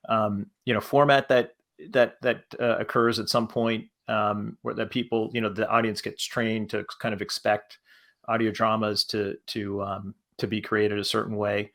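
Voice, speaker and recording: A man talking casually as a panelist, in a halting manner that circles back over his phrases. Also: fairly low pitch, little pitch movement, fairly bright tone. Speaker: panelist, podcast creator.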